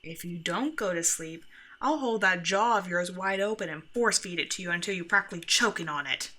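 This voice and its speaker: Woman playing a bright-voiced grumpy bedside monster. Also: lowish voice, fairly quick. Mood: grudging, bossy. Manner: exasperated, bossy, mock-threatening. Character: grumpy, sarcastic female monster from under the bed. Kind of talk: scripted ASMR roleplay, speaking to the listener.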